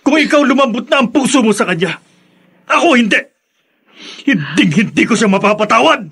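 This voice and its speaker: Embittered estranged son, Filipino radio drama; high-pitched and raspy, emotionally raw. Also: male voice, lively intonation, a little fast, a bit bright, exaggerated voice. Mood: bitter, angry. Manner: emotional, bitter. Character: bitter wounded young man, estranged son.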